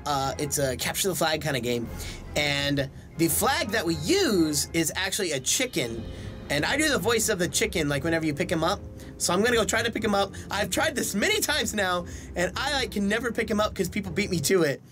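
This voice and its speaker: A young man giving casual let's play commentary in an excitable, self-deprecating way, often exclaiming. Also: sits high. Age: young adult. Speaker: voice actor and gaming video host. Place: small indoor room. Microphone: desk microphone close by, with headset worn. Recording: game music behind the voice.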